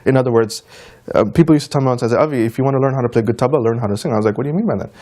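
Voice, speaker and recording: A man's voice: mid-range voice, very fast, warm tone. Man chatting informally in an interview. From United States, of Trinidadian and Guyanese descent. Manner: informal, anecdotal, quoting people in conversation. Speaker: interview guest, tabla player and teacher. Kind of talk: conversational interview answers.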